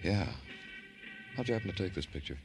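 Low-voiced, world-weary hard-boiled police lieutenant. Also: male voice, lively intonation, a little fast. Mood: businesslike, terse. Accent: American. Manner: terse, dramatic, brooding. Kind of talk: old-time radio crime drama acting. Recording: old radio drama with music.

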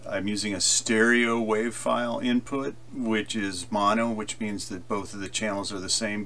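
A man with a fairly low voice and a strong vocal fry presents a software tutorial. He explains step by step in an informal, conversational way, thinking aloud as he goes. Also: slight background noise.

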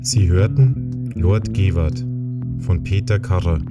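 A man narrating a fantasy audiobook in a measured, deliberate way. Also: lowish voice, loud music behind the voice. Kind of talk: scripted narration of a fantasy novel.

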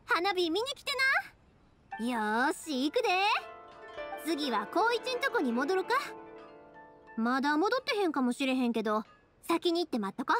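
Cheerful young kansai gal from an anime game, high friendly voice, bit of music behind. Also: female voice, very animated, normal speed, pauses often. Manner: friendly, chatty, folksy. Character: warm, cheerful, caring young woman, fireworks maker. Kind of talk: anime game character dialogue.

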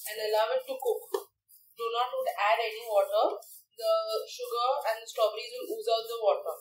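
A woman's voice: a little high, steady tone, moderate pace, a little hiss or hum. Young woman chef explaining a recipe step by step, mic kinda far off in a big kitchen. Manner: instructive, step-by-step, frequent "okay" and "right". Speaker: chef instructor. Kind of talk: cooking demonstration. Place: large teaching kitchen, indoors. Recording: echoey kitchen, distant from mic.

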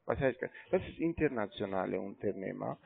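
A man with a foreign accent in German, not a native speaker, arguing as a call center agent in halting, repetitive, agitated phrases over the telephone. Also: on the low side, lively intonation, vocal fry. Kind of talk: heated phone argument. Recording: tinny narrowband phone line.

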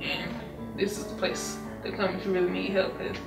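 A woman's voice: mid-range voice, flat delivery, normal speed, gravelly. A young woman giving an earnest personal testimonial as a patient, repeating words for emphasis. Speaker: clinic patient. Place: small wood-paneled room indoors. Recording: roomy, distant mic, music underneath. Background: background music.

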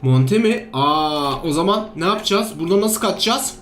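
A man giving playful, excitable game commentary, full of exclamations and repeated commands. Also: fairly high pitch, moderate pace. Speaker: gaming video host. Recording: roomy echo, music underneath. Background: background music.

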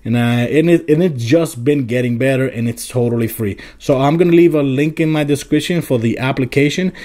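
A man presents a phone app tutorial in a casual, informal way. His voice is mid-pitched and on the mellow side. He explains each step plainly, as if showing a viewer around. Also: brisk. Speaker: tech video host.

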